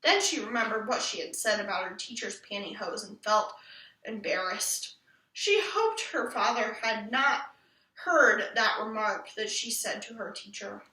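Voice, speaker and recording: A woman reading a children's book aloud in an expressive storytelling style. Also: medium pitch, a little slow. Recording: roomy echo, distant from mic.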